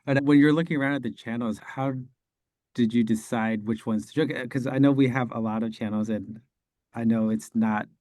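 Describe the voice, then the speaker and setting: A man's voice: medium pitch, steady tone, normal speed, a little mellow, no room sound, clean studio sound. A man hosting an interview, asking questions and giving short encouraging replies. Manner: brief, encouraging interviewer prompts. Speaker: podcast host. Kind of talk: casual video call interview.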